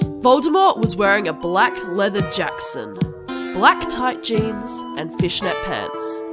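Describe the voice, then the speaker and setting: A woman voicing a gushing, melodramatic teenage goth girl vampire, narrating parody fan fiction in an over-the-top dramatic way. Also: medium pitch. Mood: breathless drama. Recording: loud music behind the voice.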